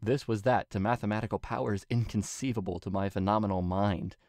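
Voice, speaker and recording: A man narrating a story in an earnest, deliberate, dramatic reading style. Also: lowish voice, fairly even intonation, darkish tone, no room sound, clean studio sound. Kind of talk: dramatic scripted narration of fan fiction.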